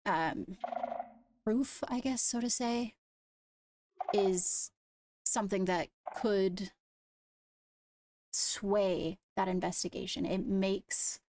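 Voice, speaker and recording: A woman playing a measured, diligent fantasy court investigator in a roleplay, with formal, deliberate, slightly hesitant phrasing and a creaky voice. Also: mid-range voice, darkish tone. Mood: measured, businesslike. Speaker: roleplay player acting as an investigator. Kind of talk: improvised in-character roleplay dialogue.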